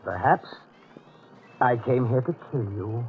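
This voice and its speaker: Menacing, brooding fugitive on old radio. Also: male voice, mid-range voice, slightly rough, soft background music, full character voice. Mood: ominous, brooding. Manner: clipped, intense, halting. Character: menacing, brooding male fugitive, unstable and commanding. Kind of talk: 1940s radio drama acting. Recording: tinny vintage radio recording.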